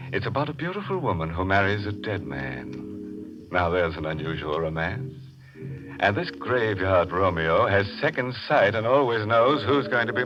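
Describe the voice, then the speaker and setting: A man acting a gleefully macabre, wisecracking horror host on 1940s radio, teasing with puns and drawn-out mock sympathy. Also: low voice. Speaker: show host. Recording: dull 1940s radio recording with faint music.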